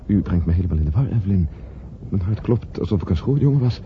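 Low-voiced, earnest gentleman. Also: animated, quick pace. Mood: tender, flustered. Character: earnest, guilt-ridden gentleman in love, uneasy traveller. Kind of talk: Dutch radio play acting. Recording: dull, noisy old radio play recording.

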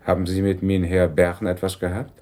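An actor playing an insistent police investigator who talks in clipped, terse phrases in a radio crime drama. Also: male voice, sits low, monotone, brisk, mellow, close to an everyday voice. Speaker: police detective.

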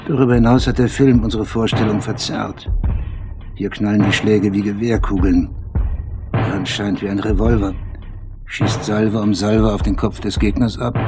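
A man acting as a sober male essayist narrates a radio documentary drama in a low voice. The delivery is measured, reflective and literary, with loud music underneath. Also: close to an everyday voice.

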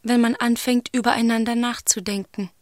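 A woman playing a brisk inner-voice narrator giving terse, pointed comments in a radio drama chorus. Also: fairly high pitch, little pitch movement, over music, close to an everyday voice. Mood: observant.

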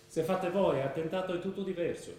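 An actor playing a grave cell leader in a radio drama, speaking with dropped articles. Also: male voice, high-pitched, a little fast, darkish tone, a little hiss or hum, natural voice. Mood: solemn, persuasive. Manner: broken grammar with dropped articles. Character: grave foreign bomb-maker, leader of a terror cell. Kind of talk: stage radio drama, narrating actions in present tense. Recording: roomy stage sound with music.

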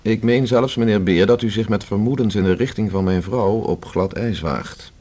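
Deep-voiced, guarded gentleman played by an actor. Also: normal speed, close to an everyday voice. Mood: guarded, formal. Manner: formal, stiff, curt, precise. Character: guarded, haughty gentleman husband under police questioning. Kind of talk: Dutch radio play acting.

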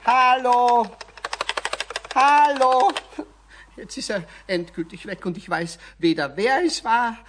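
A man's voice: extremely high pitch, slow pace, pauses often, a bit bright, exaggerated voice. A man playing an irritable, flustered businessman on the telephone in a radio play, fussy and exasperated. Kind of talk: 1970s radio play acting. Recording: noisy old radio play recording.

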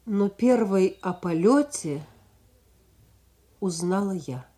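An actress playing a wartime woman radio operator who narrates in a measured, solemn, wistful way in a radio drama. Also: mid-range voice, expressive, warm tone.

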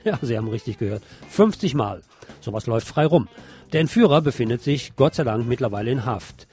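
A man's voice: medium pitch, lively intonation, fairly quick, darkish tone, soft background music. A man presenting radio news from a script, with the occasional wry aside.

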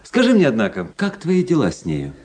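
An actor playing a worldly, cynical young officer in a radio drama, reflective and sardonic, with a measured delivery. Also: male voice, mid-range voice, wildly swooping pitch, a little mellow, husky. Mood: ironic. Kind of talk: radio drama acting and first-person literary narration. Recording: radio play with faint music behind.

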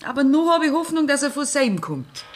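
An actress with a Bavarian accent playing a composed, grieving farmer's widow in a radio play. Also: female voice, high voice, big pitch swings, a little fast, faint music behind. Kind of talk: 1960s radio play acting.

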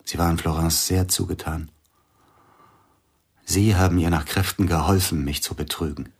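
Radio drama casting: an older grieving pianist, very deep, husky, brooding, hushed. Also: male voice, steady tone, moderate pace. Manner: brooding, drops to hushed near-whispers. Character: grieving older male pianist, bitter, suspicious. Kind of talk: radio play acting.

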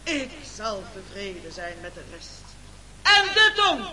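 Een acteur die in een hoorspel een botte, soldateske demagoog speelt die met nadruk een nederige toespraak houdt. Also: male voice, high-pitched, huge pitch swings, slow pace, pushed and bright, full character voice. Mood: commanding, emphatic. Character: blunt, soldierly demagogue feigning humility in a speech. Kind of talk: Dutch radio play acting, speech-making.

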